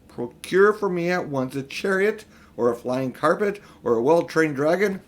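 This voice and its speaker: A man voicing a haughty, cruel witch queen in a children's storybook, domineering and scornful as she gives commands. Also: fairly high pitch. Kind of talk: children's storybook read aloud.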